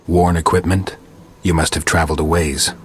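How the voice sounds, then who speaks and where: Stoic video game monster hunter, super low husky voice, terse and dry. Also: male voice, moderate pace, heavily acted. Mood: terse, reserved. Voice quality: very deep, husky rasp. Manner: terse, flat, dry questioning. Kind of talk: video game dialogue acting.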